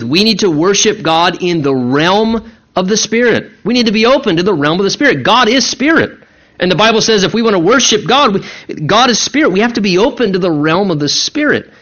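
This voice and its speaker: A man's voice: a little high, a bit bright. A male pastor from the United States preaching a teaching sermon, asking his congregation questions as he explains scripture. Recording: muffled lo-fi sermon recording.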